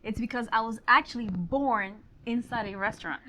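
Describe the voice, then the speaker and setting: A woman's voice: on the high side, fairly quick, slight background noise. Woman in her forties, from Honduras. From Honduras, grew up in Miami. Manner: warm, laughs often, some fillers. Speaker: guest, real estate agent. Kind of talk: casual interview chat. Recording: slightly dull lo-fi sound.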